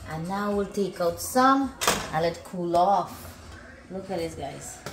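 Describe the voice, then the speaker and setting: A woman's voice: fairly low pitch, animated, a little slow, echoey room, noisy background. A middle-aged woman chatting casually as a food vlog host, often repeating herself as she exclaims over the food. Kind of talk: casual cooking vlog chat. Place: home kitchen, indoors.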